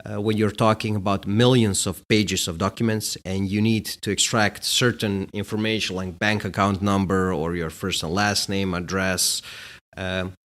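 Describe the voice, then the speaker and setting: A man's voice: low-pitched, flat delivery, normal speed, hardly any pauses, fairly bright tone. A middle-aged man from Bosnia, a guest answering interview questions in a casual, hesitant way with many fillers. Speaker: guest, company executive.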